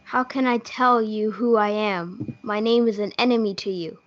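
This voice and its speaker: A woman's voice: mid-range voice, normal speed, no echo, lightly acted. Student reader's theatre: lovestruck, yearning young man, played by a female performer. Earnest, dry lo-fi sound. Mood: earnest devotion. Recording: dull lo-fi audio.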